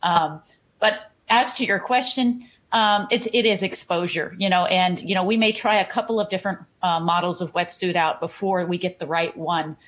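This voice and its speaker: A woman's voice: on the low side, moderate pace, a bit bright. A woman with a Texan accent, a triathlon coach chatting in a podcast interview and often repeating words as she starts a thought. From Houston, Texas.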